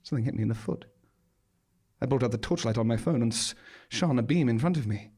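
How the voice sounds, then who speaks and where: A man's voice: medium pitch, fast, dark tone, breathy edge. A man performing a scripted first-person story on stage in an arch, droll, dramatic manner. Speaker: monologue performer. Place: small theatre stage, live performance.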